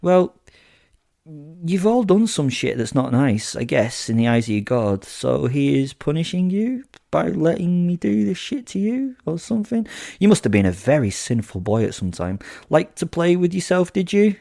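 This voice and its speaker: A man's voice: a little high, big pitch swings, normal speed, creaky, warm tone, no room sound, studio quality. A man voicing a sadistic, gleeful werewolf killer who tells horror audio drama in the first person, with flippant, theatrical asides. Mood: mocking, taunting. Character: sadistic, gleeful male werewolf serial killer narrating his kills.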